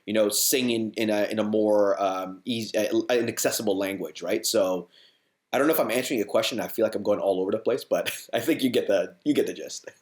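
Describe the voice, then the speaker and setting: A man's voice: on the low side, a little fast. A man in his forties from Hong Kong, a band frontman chatting as a guest in a rambling way, full of "you know" and restarts. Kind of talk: casual interview chat. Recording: roomy echo.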